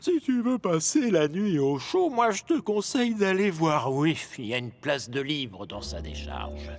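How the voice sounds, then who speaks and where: Snooty grumbly cartoon train, high voice all over the place, music behind. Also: male voice, big pitch swings, unhurried, no echo, heavily acted. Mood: self-satisfied. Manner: pompous, indignant, theatrical. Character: vain, grumbling, haughty male steam locomotive. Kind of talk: dubbed children's cartoon dialogue.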